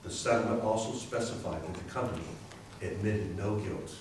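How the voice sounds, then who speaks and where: A man's voice: on the low side, moderate pace, a little mellow, close to an everyday voice. A man playing a lawyer who recounts a court case in a staged readers theater narration. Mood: earnest, measured. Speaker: community theatre performer. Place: library readers theater performance, indoors. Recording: very echoey hall, distant, faint hiss.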